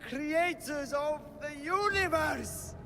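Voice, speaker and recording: An actor playing a fanatical cultist in a video game, proclaiming ascension to the gods in a grandiose, exultant incantation. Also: male voice, very high-pitched, slow, bright, forceful tone, full character voice. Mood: exultant, fervent. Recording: long reverb with music behind.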